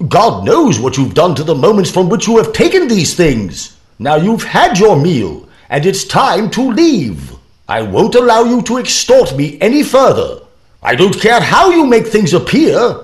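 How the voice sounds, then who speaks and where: A man's voice: high-pitched, huge pitch swings, unhurried, heavily acted. A man playing a stern, fussy professor in an audio drama, scolding and pompous. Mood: stern objection.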